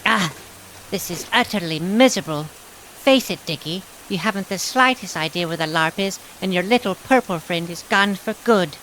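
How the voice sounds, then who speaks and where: A woman's voice: on the low side, normal speed, a bit bright, natural voice. A woman playing a prim, earnest companion from a fantasy realm, with formal, old-fashioned phrasing in an audio drama. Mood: exasperated. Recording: long reverb, faint rain noise.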